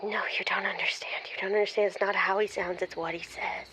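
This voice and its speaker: A woman's voice: mid-range voice, fairly even intonation, brisk, crowd noise, lightly acted. A woman playing a gushing, embarrassed, nerdy lovestruck caller in an improvised comedy, hesitant and then dramatically emphatic. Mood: breathless, reverent. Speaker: caller seeking advice.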